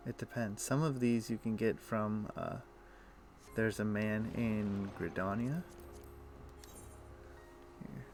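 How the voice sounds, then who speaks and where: A man streaming a video game, explaining things in a relaxed, meandering way with frequent pauses. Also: fairly low pitch, slight background noise. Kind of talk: casual gameplay chat.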